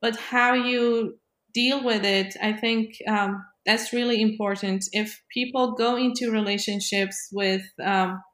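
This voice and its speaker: A woman in a reflective conversation, explaining in a measured, analytical way with pauses mid-thought, in a roomy, echoing recording. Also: medium pitch, steady tone, a bit bright.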